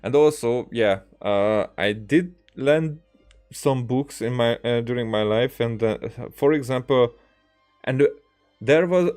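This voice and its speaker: Halting man streaming casually over music. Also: mid-range voice, a little mellow. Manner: halting, rambling, repeats words as he tells anecdotes. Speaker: game streamer. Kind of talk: casual livestream chat while gaming.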